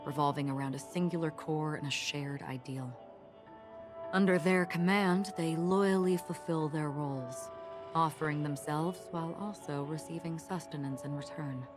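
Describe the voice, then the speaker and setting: A low-voiced, enigmatic female wanderer with a sword , played by a woman, speaks in grave, measured phrases. She is calm and melancholic, a video game character with music playing clearly behind her. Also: natural voice. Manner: measured, grave, philosophical delivery. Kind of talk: scripted game voice acting.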